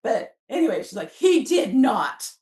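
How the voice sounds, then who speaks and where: Middle-aged woman, slightly high voice, casual storytelling, quoted dialogue, podcast guest, roomy echo. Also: a little slow. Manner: animated retelling with quoted dialogue. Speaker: podcast guest telling own love story. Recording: roomy, echoing room sound.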